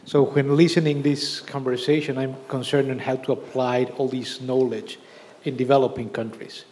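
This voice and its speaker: A man's voice: medium pitch, flat delivery, a little mellow, slight background noise. Man asking from the floor. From Mexico. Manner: careful, slightly halting non-native phrasing. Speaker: audience member asking a question. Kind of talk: question from the floor. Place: large wood-paneled lecture hall.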